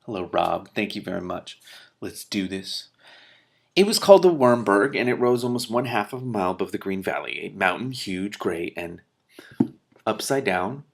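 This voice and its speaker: Gravelly low-voiced man reading aloud. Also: animated, normal speed. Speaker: host reading a book aloud with commentary. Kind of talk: expressive book narration with off-the-cuff commentary.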